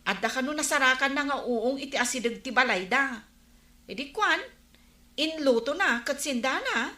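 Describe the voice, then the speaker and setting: A woman's voice: high voice, lively intonation, moderate pace, bright, forceful tone. A woman playing a gossipy village woman who brings neighbourhood news, in an Ilocano radio comedy drama. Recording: roomy, echoing radio drama recording.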